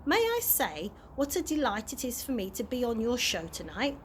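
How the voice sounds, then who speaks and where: Lady doing a polite old tramp man for a kids story, chatty and proud, kinda high, bit of noise. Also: very animated, normal speed. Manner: courteous, chatty, proudly well-mannered. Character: polite, cheerful, oblivious old male tramp.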